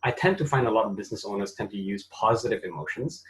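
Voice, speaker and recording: A man from Malaysia with a Malaysian accent, co-hosting an online webinar in a relaxed manner with frequent fillers, speaking over a video call. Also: fairly low pitch, fast. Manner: relaxed, thinks aloud with fillers. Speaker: webinar co-host. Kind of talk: casual online webinar talk.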